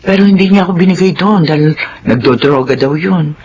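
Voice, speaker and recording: A woman's voice: deep voice, fairly quick, a little mellow, slightly rough. A woman acting as a devoted, long-suffering middle-aged widowed nanny and mother in a Filipino radio drama. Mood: earnest, resigned. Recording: dull, noisy radio drama recording.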